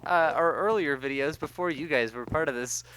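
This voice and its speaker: A man's voice: fairly high pitch, normal speed, fairly bright tone. Playful man in noisy gaming banter. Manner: playful, rambling, breaks into mock singing and silly quotes. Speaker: let's play co-host. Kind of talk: casual group banter while gaming.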